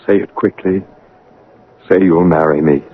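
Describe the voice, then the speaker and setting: An actor playing a brooding, commanding gentleman master and passionate suitor in an old-time radio drama, abrupt and ardent in manner. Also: sits low, steady tone, breathy edge. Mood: ardent, urgent. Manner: teasing, abrupt, ardent, halting for effect. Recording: dull, band-limited old radio broadcast.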